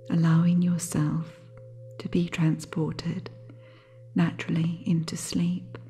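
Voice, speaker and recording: A woman guiding a sleep meditation in a soothing, gentle, unhurried way, with a soft, breathy, half-whispered voice. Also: deep voice. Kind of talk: guided sleep hypnosis meditation, scripted.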